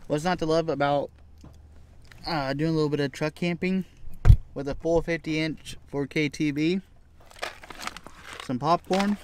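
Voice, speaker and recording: A man's voice: a little high, a little hiss or hum. Young North American man vlogging casually. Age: young adult. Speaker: outdoor camping vlogger. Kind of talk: casual vlog chat to camera. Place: inside a parked truck at night.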